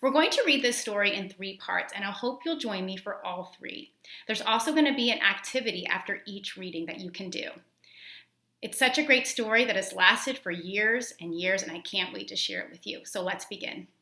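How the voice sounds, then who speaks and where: Woman, medium pitch, children's storybook reader, expressive, careful phrasing, librarian. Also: normal speed, creaky. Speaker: school librarian reading aloud. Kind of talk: children's storybook read-aloud.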